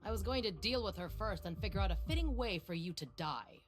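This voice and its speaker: A woman's voice: mid-range voice, lively intonation, brisk, close to an everyday voice. A woman voicing a cold, arrogant villainous scientist in a video game, with a condescending, menacing, theatrical delivery. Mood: cold menace. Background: game music.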